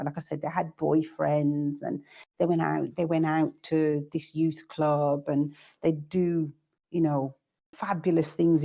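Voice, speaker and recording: A woman's voice: low voice, gravelly, dark tone. A woman being interviewed, recalling her childhood in a hesitant way, with many ums and restarts. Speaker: guest. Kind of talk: casual interview chat. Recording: dull lo-fi sound.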